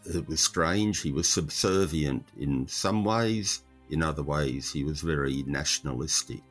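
A man, a historical researcher explaining in interview answers, measured and thoughtful with frequent pauses. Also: a bass voice, soft background music.

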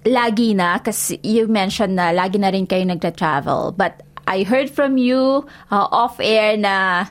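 A woman's voice: mid-range voice, a little slow, hardly any pauses. Woman interviewer mixing Tagalog and English. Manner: mixes Tagalog and English, friendly prompting. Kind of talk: casual bilingual interview.

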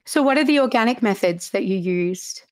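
A woman hosting a casual interview, asking playful questions and quickly chiming in with agreement. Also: medium pitch, expressive, a little mellow, no room sound, studio quality. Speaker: podcast host.

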